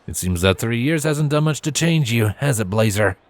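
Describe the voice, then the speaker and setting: A man's voice: mid-range voice, lively intonation, moderate pace, dry recording, close to an everyday voice. A man voicing a wry, friendly young male pony greeting an old buddy in a cartoon fan story. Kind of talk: fan fiction character reading.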